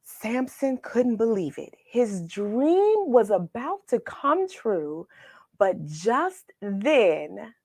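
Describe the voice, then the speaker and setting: A woman reading a children's story aloud, expressive and dramatic, with pauses for effect. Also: a little high, no room sound, clean studio sound. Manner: expressive, dramatic pauses, asks the listener questions. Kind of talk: animated read-aloud storytelling.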